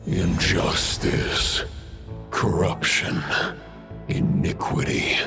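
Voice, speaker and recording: An actor playing an ancient, stern wolf spirit in a fantasy game, proclaiming solemnly and with contempt in a gravelly voice. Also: male voice, flat delivery, full character voice. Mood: menacing contempt. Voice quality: deep, gravelly. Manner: slow, solemn, archaic proclaiming. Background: music.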